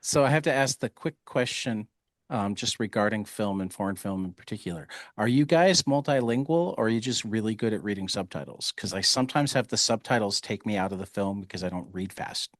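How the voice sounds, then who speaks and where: A man's voice: lowish voice, brisk, no echo, clean studio sound. A man hosting a podcast interview, asking questions in a chatty, curious, digressive way. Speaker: podcast host. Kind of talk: casual interview talk.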